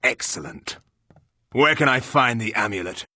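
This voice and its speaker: Sharp, haughty warrior hero. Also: male voice, medium pitch, animated, no room sound, studio quality. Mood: dry, curt. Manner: stiff, formal, deliberate diction. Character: blunt, haughty fantasy warrior hero, stiff and formal. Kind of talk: video game character acting.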